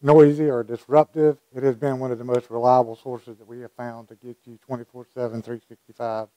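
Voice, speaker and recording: A man's voice: mid-range voice, little pitch movement, fairly quick, warm tone, no room sound, low-quality recording. A man in his sixties, an official answering interview questions into a lapel mic. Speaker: county emergency services director. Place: indoor meeting room. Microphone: lapel microphone.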